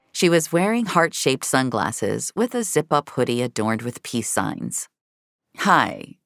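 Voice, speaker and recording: Very low-voiced woman narrating fiction. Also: no room sound, clean studio sound.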